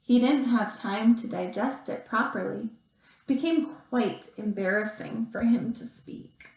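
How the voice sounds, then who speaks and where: A woman teacher reading a picture book aloud to young children, expressively, with deliberate pauses and stretched emphasis. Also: a little high, a little mellow. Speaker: second grade teacher. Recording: roomy, echoey sound.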